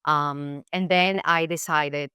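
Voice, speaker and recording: A woman's voice: low-pitched, fairly even intonation, slow, bright, forceful tone, smooth, no echo, studio quality. A Colombian woman whose first language is Spanish, speaking English with a Colombian accent, reflecting as an interview guest with many fillers and restarts. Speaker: guest actress. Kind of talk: casual interview chat.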